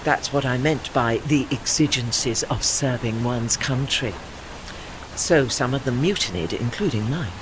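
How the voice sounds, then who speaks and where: An actor voicing an old, learned, wistful retired warship with a formal, articulate, British way of speaking in an audio play. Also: male voice, medium pitch, lively intonation, measured pace, background noise, lightly acted. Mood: measured, recounting. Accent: British English. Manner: formal, articulate, pedantic, apologetic. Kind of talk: audio drama dialogue.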